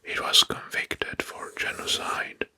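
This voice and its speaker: A man narrating facts as an ASMR host in a hushed, breathy whisper, deliberately repeating phrases. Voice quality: breathy, mostly unvoiced whisper.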